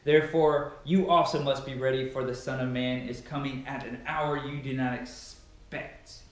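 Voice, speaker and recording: A man's voice: mid-range voice, fairly even intonation, normal speed. A young man giving a dramatic, emphatic reading of scripture, declaiming with stressed phrases. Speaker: Bible reader. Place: bare carpeted living room indoors. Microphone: far camera. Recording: very echoey empty room, distant microphone.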